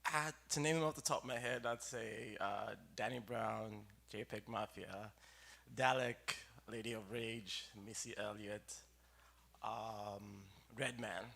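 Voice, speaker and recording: A young adult rapper from Zambia, giving a relaxed, rambling radio interview full of fillers and restarts. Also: medium pitch, slow, creaky. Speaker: rapper and producer, interview guest.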